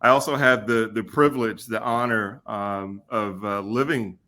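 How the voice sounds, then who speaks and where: A man's voice: lowish voice, steady tone, pushed and bright. Laid-back man telling a story. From Kentucky. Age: 40s. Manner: easygoing, laughs often, pauses with fillers. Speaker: podcast guest. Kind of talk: casual storytelling conversation.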